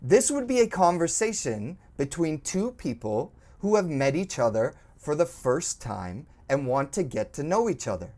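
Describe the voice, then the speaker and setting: A woman teaching an English lesson, speaking slowly and clearly, encouraging and prompting the learner to repeat. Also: low voice, big pitch swings, mellow. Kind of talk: slow, clearly spoken language lesson.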